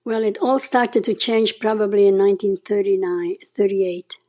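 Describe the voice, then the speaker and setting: A woman's voice: medium pitch, normal speed, dark tone. A woman in her nineties from Czechoslovakia with a Hungarian-Czech accent, telling her memories in an oral history interview and often adding "okay?". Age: 90s. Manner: storytelling, often adds a questioning "okay?". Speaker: interview guest.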